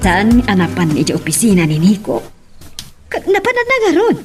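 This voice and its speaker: A woman's voice: medium pitch, huge pitch swings, normal speed, music in the background. A woman playing a fussing, scolding middle-aged mother in an Ilocano radio drama. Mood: concerned.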